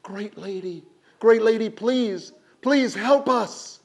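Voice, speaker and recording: A man voicing a crowd of frightened townsfolk who wail and plead in a high voice. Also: animated, slow, dark tone, full character voice. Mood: desperate.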